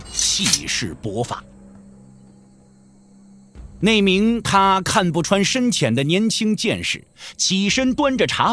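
A man's voice: sits high, a bit bright. A man narrating a Chinese wuxia audio drama in dramatic, scripted storytelling style.

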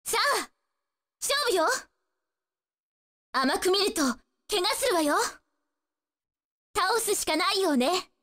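A woman's voice: very high-pitched, animated, slow, pauses often, fairly bright tone, in a large hall, exaggerated voice. A woman voicing a spirited, cocky young female martial-arts fighter in a fighting game, brash, taunting and determined. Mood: determined, challenging. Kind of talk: Japanese fighting-game voice acting, short battle lines.

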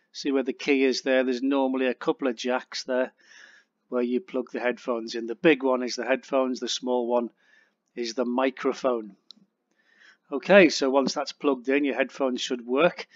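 Male instructor for an aviation tutorial, mid-pitched, casual and plain in explanation. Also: no echo, highs cut off. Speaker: flight radio instructor. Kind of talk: casual instructional narration over flight simulator.

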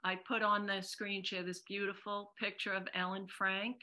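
A middle-aged woman hosting a memorial event over a video call from a small room, thanking speakers with loose pauses. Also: mid-range voice, flat delivery, bright, forceful tone. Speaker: event host.

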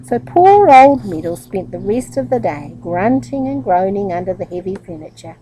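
A middle-aged woman with a husky voice reads a children's story aloud in expressive narration. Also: mid-range voice, normal speed, slight background noise, poor audio. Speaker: storybook reader.

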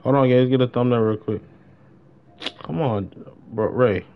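A man's voice: mid-range voice, unhurried. A young American man in casual, slangy livestream gaming chat, repeating short phrases. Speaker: livestreamer playing a roleplay game. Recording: dull, noisy game stream mic.

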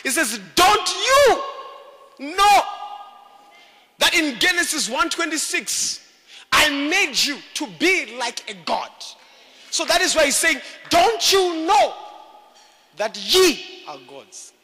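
A man preaching a fiery sermon, shouting and calling out in a sing-song, emphatic way. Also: extremely high pitch, sing-song, slow pace, pauses often, in a large hall. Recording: live sermon with loud congregation.

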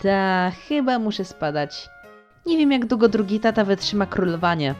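A man's voice: high-pitched, expressive, normal speed, highs cut off. A man voicing a carefree, easily bored little boy prince in a cartoon fan dub, with a blunt, playful, childish way of talking. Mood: casual, playful. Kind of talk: fan-dub comic acting. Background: music.

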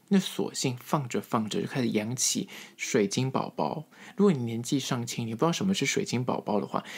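Male podcast host, Taiwanese Mandarin accent, mid-pitched voice, chatty comic storytelling with stretched-out emphasis. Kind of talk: casual humorous solo podcast monologue.

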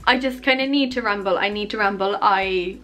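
A woman in her twenties rambling casually to camera in her bedroom, chatty and self-deprecating, her voice a little hoarse. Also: mid-range voice, brisk, a bit bright. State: losing her voice, coughing. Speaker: YouTuber. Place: bedroom, indoors. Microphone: camera on tripod at arm's length.

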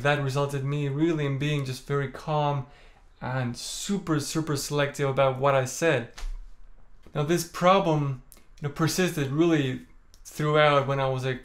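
Calm soft-spoken young man. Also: mid-range voice. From Estonia. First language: Estonian. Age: young adult. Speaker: vlogger. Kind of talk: candid personal story to camera. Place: small room indoors. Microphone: camera in front at chest framing.

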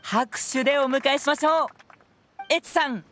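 A woman's voice: high-pitched, moderate pace, fairly bright tone, a little hiss or hum. A woman voicing a clever, playful young male detective in a video game, presenting and narrating in a polite theatrical way. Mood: showy, welcoming.

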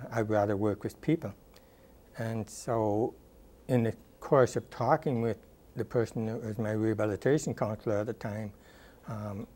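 Mellow-voiced older man recalling his career. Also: lowish voice. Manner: thoughtful, unhurried, many ums and uhs. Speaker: interviewee, rehabilitation counselor. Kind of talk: oral history interview. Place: dark studio, indoors. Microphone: lapel mic.

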